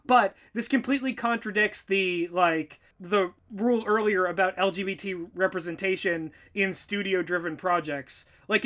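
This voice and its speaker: A writer co-hosting a casual reaction discussion. The voice sits at a middling pitch, and the delivery is animated and sardonic, with exasperated, conversational banter. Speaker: podcast-style reaction co-host and writer.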